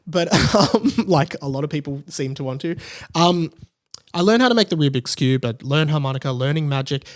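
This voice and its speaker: A man's voice: on the high side, lively intonation, a little fast. A man in his late twenties talking casually and animatedly on a solo podcast, rambling and self-deprecating. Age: late 20s. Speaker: podcast host.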